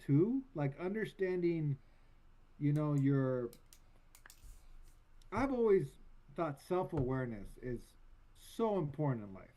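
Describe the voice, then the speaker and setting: A man's voice: fairly high pitch, very animated, slow pace, long pauses, dark tone, low-quality recording. A man in a casual sports talk show, speaking hesitantly and trailing off as he searches for words.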